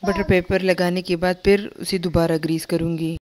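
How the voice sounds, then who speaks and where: A young woman host giving a calm, step-by-step recipe voice-over for her home cooking channel. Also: lowish voice, moderate pace. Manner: calm, instructive, repeats steps plainly.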